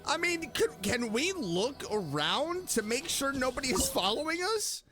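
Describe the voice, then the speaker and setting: A man doing casual live gameplay commentary, expressive, reacting aloud and talking to the characters. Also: extremely high pitch, moderate pace, over music. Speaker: game streamer.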